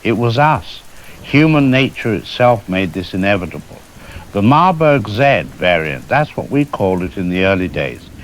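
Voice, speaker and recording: A man playing a resigned disease scientist who records a final testament in a grave, deliberate, lecturing monologue. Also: lowish voice, very animated, poor audio. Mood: grimly explaining. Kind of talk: dramatic scripted monologue. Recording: faint hiss and rain noise. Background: rain and hiss.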